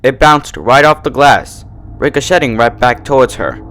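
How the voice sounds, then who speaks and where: Animated male story narrator. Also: medium pitch, normal speed, slight background noise. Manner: animated, dramatic. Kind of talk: dramatic scripted story narration.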